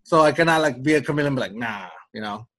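A man's voice: medium pitch, very fast, fairly bright tone. A young man with a slight Mexican Spanish accent, a native Spanish speaker, chatting casually as a podcast co-host, rambling with lots of restarts and fillers. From Mexico-US border region. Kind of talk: casual conversation.

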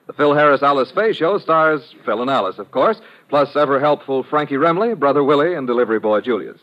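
A man's voice: medium pitch, animated, a little fast. A man announcing a network promo in the polished style of 1950s radio. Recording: dull old radio broadcast.

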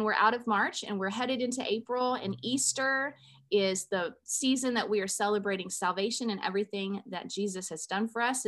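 Woman, podcast host, earnest, conversational, mid-pitched voice. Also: fairly bright tone. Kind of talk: faith podcast intro and interview.

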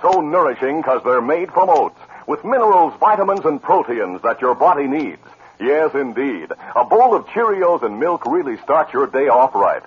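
A man's voice: fairly high pitch, sing-song, normal speed, slightly rough. A man announcing and narrating a 1950s radio western in a polished, dramatic delivery. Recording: muffled, noisy old radio broadcast.

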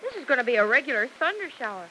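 High-voiced, coaxing young nurse. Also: female voice, big pitch swings, rapid-fire, sharp tone, exaggerated voice. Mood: mildly surprised. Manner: coaxing, fondly chiding. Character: gentle, scolding young woman nursing a cranky patient. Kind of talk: 1940s radio drama acting. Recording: noisy old radio recording.

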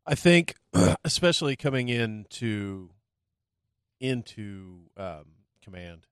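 Guy with a lowish voice musing, trails off, dry sound. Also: big pitch swings, takes it slowly, a bit bright, clean tone, no room sound. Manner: reflective, trailing off, swears. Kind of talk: casual chat.

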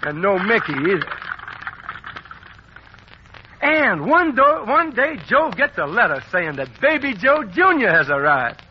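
A man with a high, bouncy voice narrates a story in a chummy, folksy way on a 1940s radio show. His pitch leaps around as he talks. The sound is dull, with a faint hiss behind him. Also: big pitch swings, measured pace, pauses often. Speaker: radio announcer.

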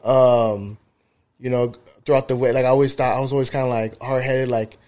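A man of thirty with an ordinary mid-range voice rambles through stories in a podcast chat. He swears freely and fills gaps with "like" and "um." His delivery is unhurried and conversational. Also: medium pitch, steady tone, a little mellow. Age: 30s. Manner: rambling storyteller, profane, frequent fillers. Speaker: podcast co-host, barber.

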